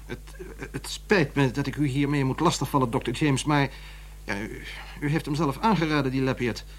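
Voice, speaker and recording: An actor playing a nervous, fussy but indignant publisher in a radio drama, speaking formally with hesitant stammers. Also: male voice, mid-range voice, normal speed, natural voice. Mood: apologetic.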